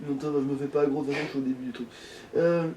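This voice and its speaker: A man's voice: on the high side, steady tone, rapid-fire, warm tone, far from the mic, a little hiss or hum. A man giving casual, crude and joking commentary while he plays a video game. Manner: informal, crude swearing, joking. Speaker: player and host of a gaming let's play.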